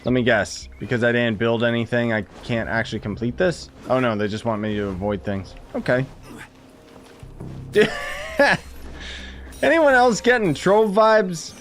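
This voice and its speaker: A man's voice: mid-range voice, animated, unhurried, pauses often, faint music behind. A man giving casual, slangy live commentary as he plays a game and chats with viewers. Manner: informal, slangy, exclaims and chuckles while playing. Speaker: gaming streamer.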